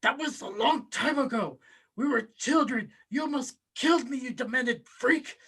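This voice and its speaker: Man acting strange evasive brother, halting, husky, bright-edged voice, comedy script. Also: very high voice, exaggerated voice. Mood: strained, pleading. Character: odd, evasive long-lost brother of a theatrical patriarch. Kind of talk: table read of a comedy film script.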